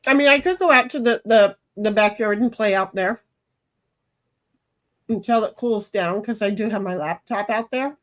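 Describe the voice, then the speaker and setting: A woman streaming a card game, chatting and rambling from a hot room at home. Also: normal speed. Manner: chatty, rambling, self-described high pitchy voice. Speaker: streamer playing online cards with friends. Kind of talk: casual chat while gaming.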